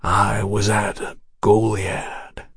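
A male narrator plays a bitter, menacing in an audiobook. He drawls very slowly and tonelessly in terse, dry phrases, recorded close and dry. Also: medium pitch, steady tone, no room sound. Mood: bitter, grim. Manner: terse, toneless, drawn-out delivery. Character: grim, menacing Texian man, weary and bitter.

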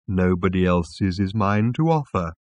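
Casting: a man to play a hefty, obliging talking bovine for a storybook, lowish, mooing contentedly, politely deferential. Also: expressive, unhurried, darkish tone, no echo, lo-fi sound. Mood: contented, inviting. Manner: contented, deferential, lowing delivery. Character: large, fat, eager, obliging male talking cow. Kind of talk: audiobook comic science fiction character.

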